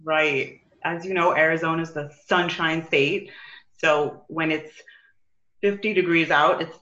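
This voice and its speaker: A woman with a New York accent, talking as a coach in an interview. Her voice is bright and forceful, and she tells her stories in an animated, quick way. The sound comes through a roomy space and is a bit dull and lo-fi. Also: low-pitched. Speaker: business and life coach, guest. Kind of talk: conversational interview.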